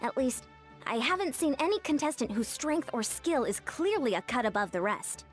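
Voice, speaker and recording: No-nonsense female game coach, high, lively intonation, music underneath. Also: normal speed. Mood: matter-of-fact. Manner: matter-of-fact, instructing. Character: no-nonsense female athletics coach, brisk and dutiful. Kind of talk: video game voice acting.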